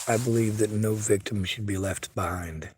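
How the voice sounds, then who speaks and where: A man's voice: on the low side, steady tone, normal speed, a little mellow, a little hiss or hum. A man livestreaming, reading viewer chat and speaking bluntly and earnestly in a casual monologue. He has a stutter and a speech impediment.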